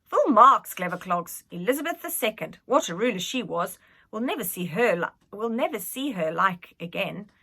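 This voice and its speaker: A woman voicing an elderly royal nanny in a storybook, brisk, bossy and protective, with emphatic delivery. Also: medium pitch, big pitch swings, pushed and bright, breathy edge, full character voice. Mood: approving.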